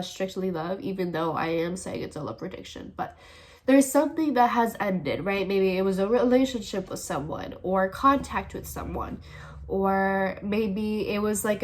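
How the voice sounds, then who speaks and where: A woman with a stuffy, congested voice, chatting casually as she gives a tarot reading to her channel's viewers and thinks aloud as she goes. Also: mid-range voice. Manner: chatty, thinks aloud, repeats "all right". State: congested, sniffling. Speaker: tarot reader, channel host.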